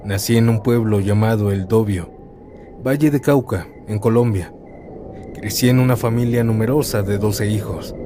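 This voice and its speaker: A man narrates horror stories in a calm, steady first-person read. His voice sits a little low and has a warm, mellow tone. A faint noise runs under the recording. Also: normal speed.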